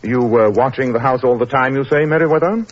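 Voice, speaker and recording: An actor playing an incisive, dryly superior gentleman detective in a 1940s radio drama, a man with an upper-class English accent and clipped, precise speech. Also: mid-range voice, a little fast, lightly acted. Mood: cool, inquiring. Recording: muffled, noisy 1940s radio recording.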